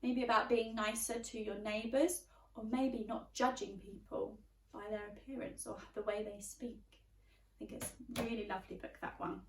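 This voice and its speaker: A woman reading a children's picture book aloud expressively, pausing to ask her young listeners questions. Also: on the high side, fairly even intonation, recorded from a distance, a little hiss or hum. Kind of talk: animated children's story reading. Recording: roomy, echoey, away from the mic.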